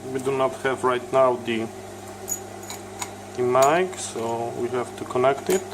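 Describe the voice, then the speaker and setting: Slow-talking man narrating a repair, noisy background. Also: medium pitch, fairly even intonation. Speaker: electronics repair video host. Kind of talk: hands-on tutorial commentary.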